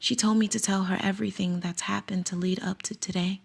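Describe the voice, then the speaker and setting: A woman's voice: mid-range voice, flat delivery, a little fast, vocal fry, husky, faint music behind. An actress playing a conflicted woman teacher who spies for someone, narrating in first person in a radio drama with an anxious, reflective manner. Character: conflicted woman teacher, a circus school spy. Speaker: narrator and lead character.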